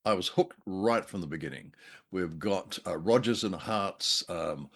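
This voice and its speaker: A man co-hosting a conversational review of radio drama, speaking in a halting, wry way. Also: low voice, fairly bright tone. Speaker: co-host and commentator.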